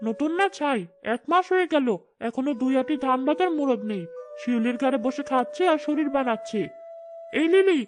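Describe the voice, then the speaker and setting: A woman plays a mean village woman with a high voice and a sharp tongue. She taunts and sneers with open scorn, in cartoon fairy-tale style over music. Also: lively intonation, brisk. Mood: mocking, contemptuous.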